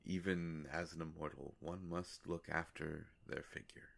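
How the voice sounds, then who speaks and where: A man performs an immortal male vampire with a deep voice full of gravelly fry. He talks intimately to one listener in a roleplay audio, polite and wryly musing. His delivery is very unhurried and halting, with long pauses. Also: fairly even intonation, fairly bright tone, heavily acted. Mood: wry, explaining. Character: courteous, world-weary immortal male vampire, gently wry.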